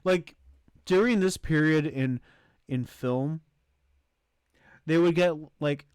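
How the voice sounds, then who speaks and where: A man giving casual livestream commentary as host, thinking aloud in a halting way as he ranks things. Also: a little high, a little mellow, smooth, dry recording, studio quality. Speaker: streamer hosting a movie tier list.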